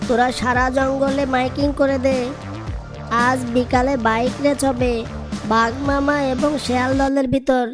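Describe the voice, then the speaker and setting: A woman voicing a cheeky, crafty young fox with an excitable, taunting manner in a cartoon. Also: high-pitched, natural voice. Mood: scheming. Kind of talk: cartoon character voice acting.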